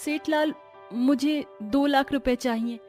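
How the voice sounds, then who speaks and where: A woman's voice: high voice, fairly even intonation, a little slow, darkish tone, soft background music. A woman playing a devout, anxious wife in a moral story, speaking in an earnest, imploring way. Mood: earnest request. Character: devout, anxious young wife seeking money.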